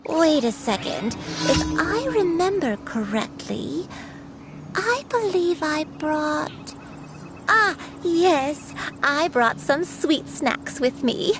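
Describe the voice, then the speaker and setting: A woman playing a gentle, motherly old grandmother in a video game, searching her memory. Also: high voice, slow pace, over music, heavily acted. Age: older. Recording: steady ambient noise behind.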